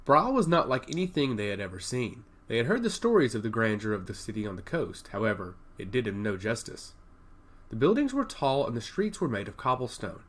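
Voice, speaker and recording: Animated male fantasy narrator. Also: mid-range voice, fairly quick. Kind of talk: scripted fantasy audiobook narration.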